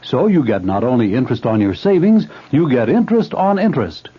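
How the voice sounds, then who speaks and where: A man reading a radio commercial in a brisk, persuasive way, on a dull old radio recording. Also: medium pitch, big pitch swings, breathy edge. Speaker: ad announcer.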